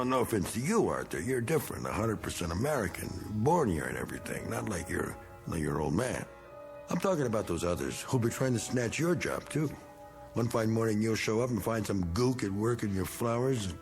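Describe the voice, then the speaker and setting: An actor playing a self-pitying, bigoted middle-aged war veteran who drinks, rambling in folksy slang in a 1960s television drama. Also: male voice, lowish voice, animated, fairly quick, faint music behind. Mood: defensive, bitter.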